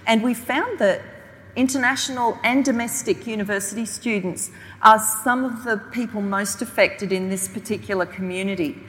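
A woman's voice: mid-range voice, a bit bright. A woman with an Australian accent from southeast Queensland, addressing a public panel event as its chair. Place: indoor hall on a stage. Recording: echoey hall, audible room noise.

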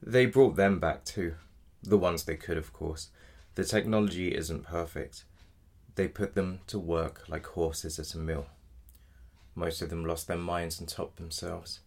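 Man acting as low, gravelly, matter-of-fact solicitor. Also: fairly even intonation, darkish tone. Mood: grimly explaining. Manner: measured, matter-of-fact, formally polite. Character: apologetic but blunt male defence solicitor in dystopian future. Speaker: actor. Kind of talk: audio drama dialogue.

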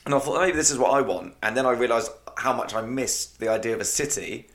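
A man's voice: mid-range voice, animated, quick pace, fairly bright tone. An easygoing man from England, a guest on a podcast, talking casually about where he lives. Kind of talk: casual interview chat.

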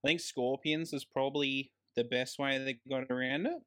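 Male Australian podcast co-host, average pitch, casual and keen, fond of bold statements about films. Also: fairly even intonation, normal speed, pushed and bright. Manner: enthusiastic, given to emphatic grand claims. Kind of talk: casual film discussion.

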